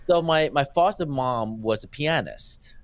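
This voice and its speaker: A man in his forties, born in Thailand and raised in New Jersey, telling his life story as a guest in a casual interview, halting with many fillers and restarts. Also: mid-range voice, lively intonation, no echo. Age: 40s. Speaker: filmmaker guest.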